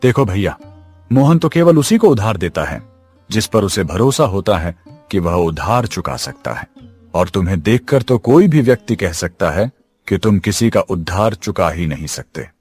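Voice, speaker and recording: A man's voice: low-pitched, big pitch swings, moderate pace, darkish tone. A man playing a boastful, spiteful younger brother and village chief in a cartoon, haughty and taunting. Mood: smug, scornful. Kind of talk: Hindi cartoon story acting. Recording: music behind the voice. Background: music.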